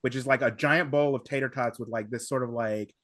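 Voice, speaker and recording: A man's voice: mid-range voice, fairly even intonation, brisk, fairly bright tone, dry recording. American male podcast guest, mid-range voice, informal and loose-tongued, recorded very dry. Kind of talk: casual chat.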